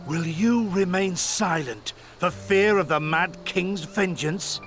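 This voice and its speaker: An actor playing an impassioned rebel leader in a fantasy game, speaking grandly and deliberately like a practised orator. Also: male voice, high-pitched, fairly bright tone, faint music behind. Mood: rousing, defiant. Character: impassioned male rebel leader, rousing orator, world-weary mentor to soldiers. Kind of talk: theatrical fantasy game acting.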